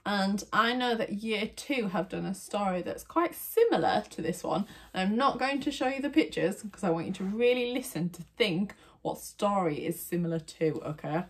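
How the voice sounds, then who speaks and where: A woman telling a children's story, expressive and deliberate, like a primary teacher. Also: medium pitch, husky.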